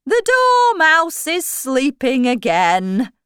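A woman voicing an eccentric, blunt, irritable man in a children's story, with drawn-out, emphatic words. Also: high-pitched, sing-song, a bit bright, dry recording, clean studio sound, voice pushed up, exaggerated voice. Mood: eccentric, insistent. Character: eccentric, blunt, irritable male Mad Hatter. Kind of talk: slow children's storybook character voice.

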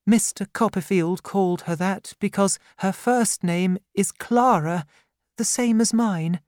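A woman voicing a frightened, tearful young widowed mother who speaks softly and timidly in a storybook reading. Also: medium pitch, a little slow, no room sound, studio quality, pitched above the performer's own voice, lightly acted. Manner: soft, timid.

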